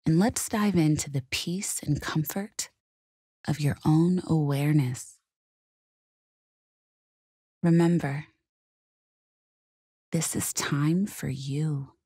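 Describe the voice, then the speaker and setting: A woman leading a guided meditation in a calm, gentle, soothing and measured way. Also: low-pitched, breathy edge, no room sound, studio quality.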